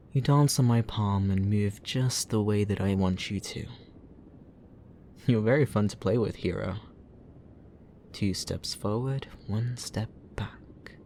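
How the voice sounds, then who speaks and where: A man playing a gloating, obsessive supervillain in a roleplay ASMR audio drama, teasing and possessive, with a theatrical, drawn-out delivery. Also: fairly low pitch, darkish tone, a little hiss or hum. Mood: teasing, playful.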